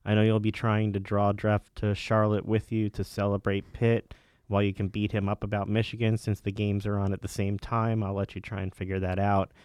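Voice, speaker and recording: A man's voice: fairly low pitch, monotone, a little fast, darkish tone, clean tone, no echo. A man hosting a casual sports talk show, steering guests through the conversation. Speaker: sports radio host.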